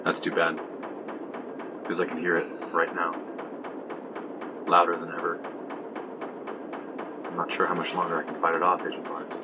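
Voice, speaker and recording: An actor playing a haunted, fatalistic young man telling his story gravely in an audio drama. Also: low voice, completely flat delivery, normal speed, pauses often, highs cut off, natural voice. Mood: bleak, ominous. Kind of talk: dramatic first-person narration, audio drama. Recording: clear ambient noise behind.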